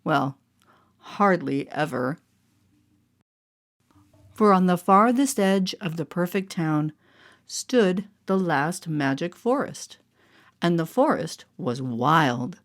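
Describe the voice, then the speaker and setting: A woman storyteller narrating a storybook with expressive, deliberate phrasing. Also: on the low side. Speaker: storyteller and voice artist. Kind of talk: scripted storybook narration for all ages. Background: music.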